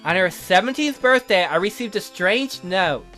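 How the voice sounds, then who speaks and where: A man's voice: high voice, lively intonation, normal speed, bright, forceful tone, voice pushed down. A sardonic castle magician acted by a man in a visual novel game, speaking in a raised voice. He sounds haughty and condescending, laying things out with scorn over background music. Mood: scornful explaining.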